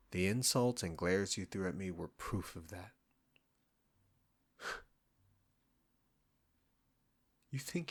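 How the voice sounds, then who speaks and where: A man acts out a proud restaurant chef with a low voice. He is combative and sarcastic, talking straight at the listener in an audio roleplay with an irritable, condescending edge. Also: exaggerated voice. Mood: taunting.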